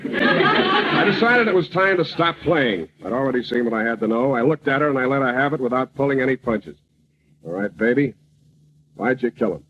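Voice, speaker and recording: An actor playing a hard-boiled, wisecracking private eye with cool deadpan delivery in an old-time radio comedy. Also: male voice, medium pitch, moderate pace. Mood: tough, accusing. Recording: noisy, dull old radio broadcast recording.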